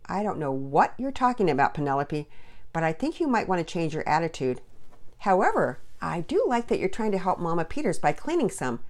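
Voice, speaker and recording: A woman's voice: lowish voice, big pitch swings, brisk, darkish tone. Storybook dad, read by a woman: friendly, mildly correcting, encouraging, with swooping intonation. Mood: firm, kind.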